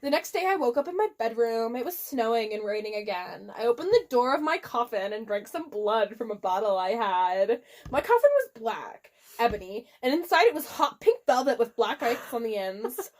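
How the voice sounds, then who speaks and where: A woman's voice: on the high side, big pitch swings, moderate pace, hardly any pauses. A woman reading fan fiction aloud in a theatrical, giggly, comedic way. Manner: giggly, theatrical, often breaks into laughter.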